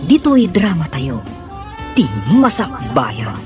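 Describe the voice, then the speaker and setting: A woman's voice: fairly low pitch, wildly swooping pitch, slow pace. A woman announcing and narrating a radio drama in a formal, scripted manner. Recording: radio broadcast over theme music. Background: theme music.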